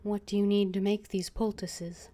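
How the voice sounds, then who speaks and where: A woman streaming a video game, quietly chatting and reading her character's lines. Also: mid-range voice, little pitch movement, normal speed. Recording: loud background game noise.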